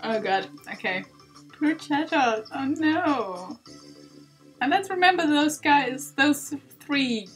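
A woman's voice: high-pitched, fairly bright tone, low-quality recording. A woman giving casual let's play commentary on a game, reactive and full of exclamations.

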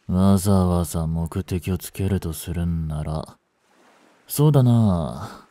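A man playing a young delinquent in a voice drama, listless and blunt, with rough slangy talk and a caring streak. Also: low voice, slow pace, mellow, dry recording. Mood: weary, teasing menace. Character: gruff, languid young male delinquent, secretly caring. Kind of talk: Japanese voice drama for female listeners.

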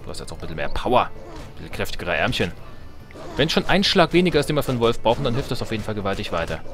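A man's voice: fairly low pitch, big pitch swings, rapid-fire, over music. A man giving casual, jokey gameplay commentary, slangy and often talking to himself and to his game units. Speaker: let's player.

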